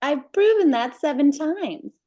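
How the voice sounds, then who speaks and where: Casting: actress as unapologetic beauty contest winner, mellow tone, expressive pitch movement. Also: female voice, a little slow. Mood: smug. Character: smug, competitive, unapologetic pageant champion. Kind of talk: screenplay table read acting.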